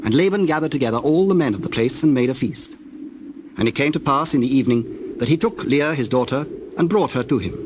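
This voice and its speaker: A man narrating scripture as a formal, measured storyteller in a scripted dramatization. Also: mid-range voice. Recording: clear background noise, birdsong and doves.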